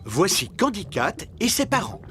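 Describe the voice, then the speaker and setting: A man acting as a calm storybook narrator in a preschool cartoon, reading scripted narration. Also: high voice, moderate pace. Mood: calm, explaining. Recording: loud cartoon music behind.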